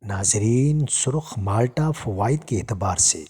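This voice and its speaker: A man's voice: lowish voice, lively intonation, a little slow, mellow, breathy edge. A man reads scripted narration, formally addressing viewers. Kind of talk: scripted narration of household tips. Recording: long reverb on the voice.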